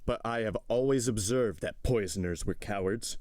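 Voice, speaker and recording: A man's voice: lowish voice, moderate pace, darkish tone, no echo, clean studio sound, natural voice. A man voicing a stern, imperious magistrate in an audiobook drama, coldly accusing his wife with harsh, contemptuous command. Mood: contemptuous, rising anger. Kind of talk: dramatic audiobook character reading.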